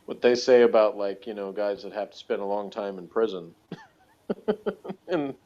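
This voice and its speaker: Male co-host, deep voice, loose rambling analysis, halting and chuckly, dull lo-fi sound. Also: lively intonation, dark tone. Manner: halting, thinks aloud, chuckles as talking. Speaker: podcast co-host reviewing a TV series. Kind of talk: casual chat, rambling analysis. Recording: dull, lo-fi audio.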